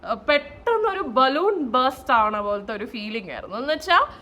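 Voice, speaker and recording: A woman telling a story in a casual, animated vlog style, mixing in English phrases. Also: high-pitched, moderate pace. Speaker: vlogger and saree store owner. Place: at home, indoors. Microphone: no external microphone, camera's own mic. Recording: faint noise, no microphone.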